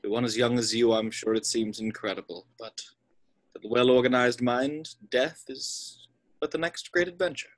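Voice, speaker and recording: A man acts out an elderly wizard headmaster, wise and gentle. He sits at a medium pitch and speaks deliberately, leaving weight in his pauses, as in a fantasy script read. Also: fairly even intonation, close to an everyday voice. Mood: serene. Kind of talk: table read of a fantasy screenplay.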